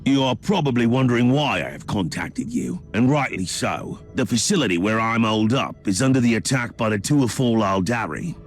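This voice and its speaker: Guy playing a wry self-assured inquisitor in a game, deepish, very lively pitch, wordy and theatrical. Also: normal speed, few pauses, faint music behind, natural voice. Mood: pompous, measured. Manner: formal, wordy, wryly theatrical. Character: smooth-talking, wry male Inquisitor agent, self-assured schemer. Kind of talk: video game cutscene acting.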